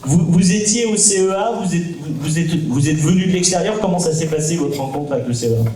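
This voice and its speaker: A man's voice: a little high, fairly quick, dark tone. A man moderating a conference panel, prompting the guest and restating his answers. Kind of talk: interviewing on a panel. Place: conference hall. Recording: echoey hall, distant mic, faint noise.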